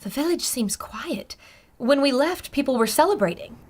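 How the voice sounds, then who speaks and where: A woman's voice: sits high, expressive, moderate pace, a little mellow. An actress playing a female radio reporter who narrates the adventure to listeners in a vivid, descriptive way.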